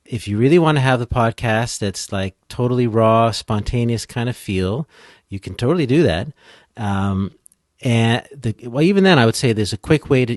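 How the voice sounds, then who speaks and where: A man giving casual, informal expert explanations as a podcast guest, thinking out loud as he goes. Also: mid-range voice, dry recording, clean studio sound. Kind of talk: casual explanatory interview talk.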